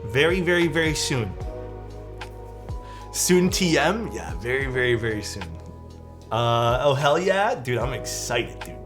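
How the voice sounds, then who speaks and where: A young man, chatting casually as a livestream host, excitable and rambling with lots of "like" and "dude". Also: medium pitch, unhurried, long pauses. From Canada. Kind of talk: casual livestream chat, reading chat messages. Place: his room at home. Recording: speech over background music. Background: background music.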